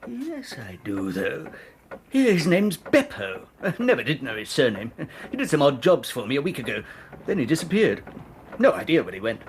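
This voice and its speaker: A man's voice: medium pitch, huge pitch swings, normal speed, a little hiss or hum. An actor playing an indignant, fussy shopkeeper in a radio drama, blustering and querulous, repeating himself as he grumbles. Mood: flustered, grumbling.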